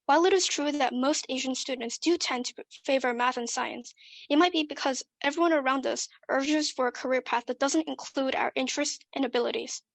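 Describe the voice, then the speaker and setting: A woman's voice: sits high, brisk, gravelly, no echo. A young woman delivering a prepared competition speech in an earnest, persuasive way. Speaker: student speaker.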